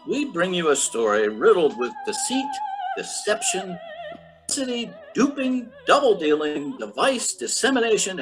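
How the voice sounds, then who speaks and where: A man's voice: on the high side, fairly bright tone, exaggerated voice, low-quality recording. Man playing a wildly swooping, hammy radio announcer. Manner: grandiloquent, drawn-out alliteration. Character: grandiose male old-time radio announcer, hammy and melodramatic. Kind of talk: theatrical old-time radio narration.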